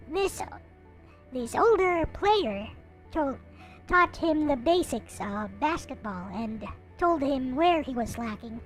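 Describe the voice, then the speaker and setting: A woman hosting a live stream in casual chat, rambling along with frequent hesitant pauses. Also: sits high.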